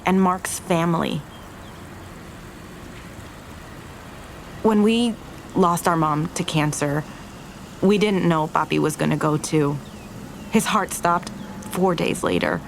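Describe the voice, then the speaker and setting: An actress playing an earnest, regretful young restaurant owner in an audio drama, confessing in a halting, naturalistic way. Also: female voice, lowish voice, creaky, a little hiss or hum. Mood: solemn, reflective.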